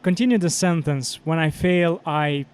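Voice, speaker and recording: A male host asking short interview questions in a brisk, encouraging way. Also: a little high, darkish tone, slight background noise.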